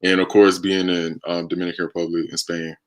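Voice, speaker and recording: Deep-voiced American man chatting casually. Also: little pitch movement, brisk. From United States. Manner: conversational, reading and answering viewer comments. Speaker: live stream host. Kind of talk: casual live stream chat.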